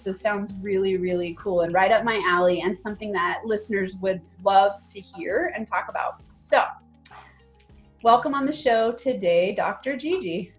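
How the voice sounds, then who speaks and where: A woman hosting an interview podcast, talking in a chatty, emphatic way. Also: medium pitch. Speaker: podcast host and coach.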